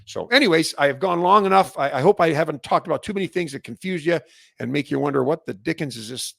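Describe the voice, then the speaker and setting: A middle-aged man with a Canadian accent hosts an industry update in a loose, rambling monologue full of "uh"s and restarts. His voice is gravelly with fry, and the dry, close sound carries no room echo. He keeps working himself up about his pet subject. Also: fairly high pitch, fast. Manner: rambling, many fillers and restarts, gets fired up on his soapbox. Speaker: host of a product industry update. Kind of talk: unscripted solo monologue.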